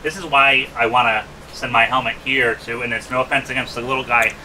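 A man interviews casually at a rapid pace. His voice is strikingly bright and tense, at a medium pitch. A faint steady hum sits behind him. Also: low-quality recording. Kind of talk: casual conversation. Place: small workshop, indoors.

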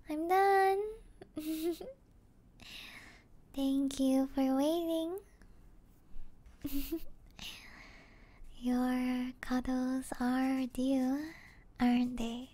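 Soft, breathy, high-voiced gamer girlfriend acted by a woman. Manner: breathy, often half-whispered, hesitant, teasing. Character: young gamer girlfriend, affectionate, playfully flustered and teasing. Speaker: roleplay voice actor. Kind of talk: soft-spoken ASMR roleplay.

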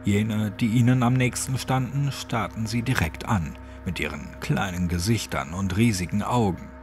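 A man's voice: on the low side, moderate pace. A man narrating a scripted horror story as an audiobook. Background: music.

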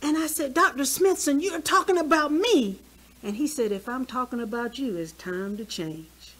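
A woman of sixty speaks from a church podium with a fairly high, bright voice. She talks in a lively, conversational way and keeps asking the listeners questions. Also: moderate pace. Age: 60s. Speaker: health speaker. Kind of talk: church health talk. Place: small church hall.

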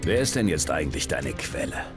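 An actor playing a loyal, level-headed warrior hero in a video game, terse and dry in manner. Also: male voice, a bass voice, very animated, fairly quick. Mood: calm, steady. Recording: background music. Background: game music.

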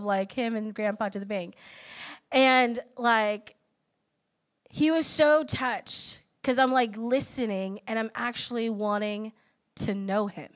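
A woman in her thirties giving a casual, story-filled sermon to a young adult group. She speaks into a handheld mic on a church auditorium stage. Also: mid-range voice. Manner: animated and personal, filler-heavy storytelling. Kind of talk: conversational sermon. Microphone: handheld microphone.

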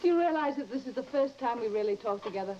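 A woman's voice: on the high side, animated, fast, mellow, natural voice. A woman playing a polite, timid young wife in an old-time radio drama. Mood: polite, curious. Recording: muffled, hissy vintage recording.

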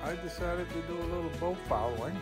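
A man's voice: a little high, fast talker, over loud music. A middle-aged man hosting an upbeat vlog, talking and narrating. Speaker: vlog host.

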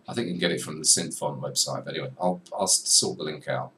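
A young man giving a chatty, self-deprecating software tutorial at his desk, talking to the viewer as he clicks through menus. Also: very deep voice, fast. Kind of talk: screen-recorded software tutorial. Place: small room at a desk. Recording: slightly distant mic with faint room noise.

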